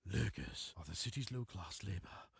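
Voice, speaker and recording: Give the game a greedy old crime boss with a deeply creaky, very husky wheeze. He is oily and pompous, swinging his pitch as he schemes, and tags phrases with a questioning grunt. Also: expressive, normal speed, no room sound, clean studio sound, exaggerated voice. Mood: scheming, smug. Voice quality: wheezy, gasping, gravelly. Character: greedy, sly, gluttonous older male crime boss. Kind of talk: video game cutscene acting.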